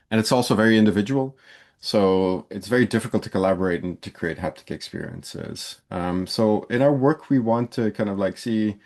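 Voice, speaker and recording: A man from Belgium delivers a lecture in a fairly low voice. He keeps it conversational, with plenty of fillers and restarts. He sounds like a young adult talking through his work. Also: darkish tone. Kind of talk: university lecture.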